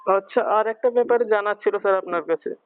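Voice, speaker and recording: High-pitched, hesitant man on a muffled call. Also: quick pace, a little hiss or hum. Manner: hesitant, rambling, pleading. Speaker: prank caller. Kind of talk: prank phone call, posing as a worried parent.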